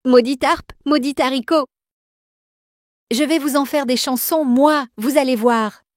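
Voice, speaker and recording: A woman's voice: high-pitched, unhurried, no room sound, studio quality. A woman playing a hot-tempered, vengeful mother in a fairy tale cartoon, with theatrical delivery. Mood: furious, threatening. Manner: cursing, theatrical.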